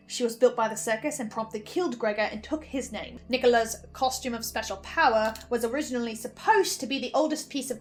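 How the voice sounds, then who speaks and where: A woman giving casual, wry, sweary commentary as she recaps and reacts to a podcast. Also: fairly high pitch. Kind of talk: casual first-person commentary with theorizing. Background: music.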